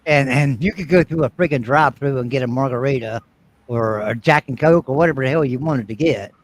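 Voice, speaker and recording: A man from Virginia, telling emphatic, animated stories with mild cursing in a casual live chat. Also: medium pitch, a little fast, a little hiss or hum. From West Virginia.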